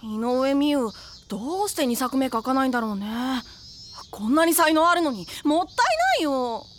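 An excitable teenage girl with a very high voice that leaps around. She is breezy, blunt and full of exclamations, in an anime audio drama with noticeable noise behind. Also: moderate pace. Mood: enthusiastic.

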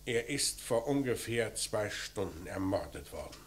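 A low-pitched, calm police commissioner in a vintage radio crime play. He speaks tersely and matter-of-factly, wasting no words, on a noisy old recording. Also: a little slow. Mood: sober. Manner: terse, matter-of-fact questioning. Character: calm, laconic male police commissioner investigating a murder. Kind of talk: 1950s-80s German radio crime drama acting.

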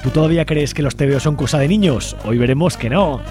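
A man's voice: fairly high pitch, brisk. A man Spain, presenting a live community radio show as its host a studio microphone. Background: music.

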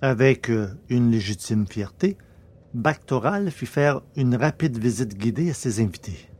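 Man, storyteller, mid pitch, scripted science fiction audiobook narration, measured, literary delivery. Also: animated, a little mellow.

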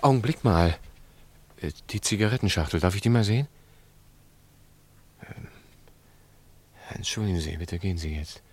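An actor playing a stubborn, upright snack-bar owner in a German radio drama, speaking in a firm, curt, defensive way. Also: male voice, sits low, lively intonation, moderate pace, long pauses. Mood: uneasy, curt.

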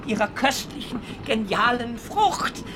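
An actor playing a fervent young German pilgrim in a radio play, preaching solemnly and rapturously in lofty phrases. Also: male voice, extremely high pitch, animated, a little slow, noisy background.

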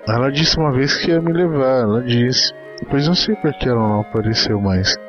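A man's voice: mid-range voice, a little fast, muffled recording, natural voice. Tender, eager young orphan boy, played by a man, audio drama with music underneath. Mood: wistful. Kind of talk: dramatic reading of a short story.

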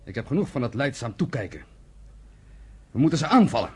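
Husky, impassioned young rebel brother. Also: male voice, medium pitch, big pitch swings, a little fast. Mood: defiant. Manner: impassioned, scornful, declamatory. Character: bitter, defiant militant younger brother, leader of armed underground. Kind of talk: Dutch radio play acting.

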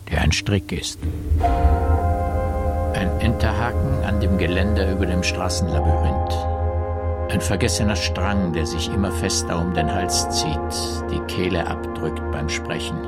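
Noir radio play narrator: low, somber, terse, literary, over prominent music. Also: male voice. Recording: loud music under the voice.

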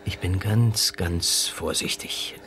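An actor playing a gentle, attentive doctor in a German radio drama, soothing and careful. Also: male voice, low voice, takes it slowly, a little hiss or hum.

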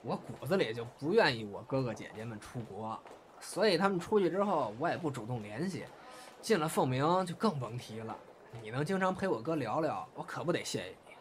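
A man's voice: mid-range voice, very animated, a little fast, natural voice. A man playing a jealous, cheeky young brother in an audio drama, chatty and needling in manner. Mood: sincere. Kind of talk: Chinese audio drama dialogue. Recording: faint background noise and ambience.

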